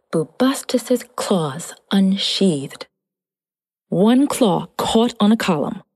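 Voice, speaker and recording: A woman reads a children's story at a slow, easy pace. Her voice is soft-edged and mellow, with playful, expressive phrasing. A long reverb tail hangs behind the words. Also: mid-range voice. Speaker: storybook narrator. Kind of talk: scripted children's story narration.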